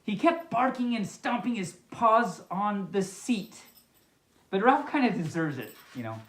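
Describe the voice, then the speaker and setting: Animated woman reading a storybook, echoey room. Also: medium pitch. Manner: playful, chatty, halting rambling commentary. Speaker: storyteller, host. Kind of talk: reading a picture book aloud with casual asides. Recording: echoey, reverberant room sound.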